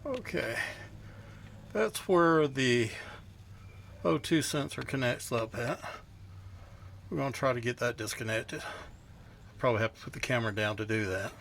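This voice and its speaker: A man's voice: medium pitch, vocal fry, fairly bright tone, a little hiss or hum. A man hosting a DIY auto repair video, explaining each step in a casual, plainspoken, folksy way. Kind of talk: casual hands-on how-to narration.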